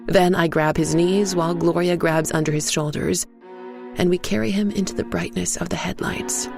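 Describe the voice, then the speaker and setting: A woman narrates dark fiction in the first person. Her voice is fairly low and creaky, with grave, deliberate phrasing. Soft music sits underneath. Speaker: story narrator.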